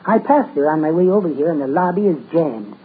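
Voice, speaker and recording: An actor playing a meek, fussy, soft-spoken male neighbor in 1940s radio comedy. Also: a little high, expressive, brisk, close to an everyday voice. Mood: mild. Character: meek, mild-mannered bird-loving adult male neighbor. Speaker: visiting neighbor. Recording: tinny old radio broadcast with faint hiss.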